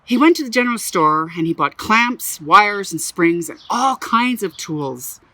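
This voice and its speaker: An animated woman storyteller reading a picture book aloud to children, dropping chatty asides between pages. Her pitch rises and falls all over the place. The recording is close and dry. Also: a little slow, no echo. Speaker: museum storyteller.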